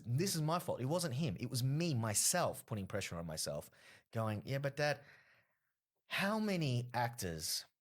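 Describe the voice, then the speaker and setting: A man, a comedian guest telling long stories in a casual podcast interview, with many fillers. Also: mid-range voice. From Australia. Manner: rambling storyteller, frequent fillers.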